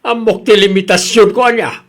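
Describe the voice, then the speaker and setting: A man's voice: high-pitched, steady tone, fairly quick, natural voice. A man with an Ilocano accent playing a stubborn, defiant father in a radio drama, arguing back in a hard, argumentative way. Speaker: father in a family quarrel.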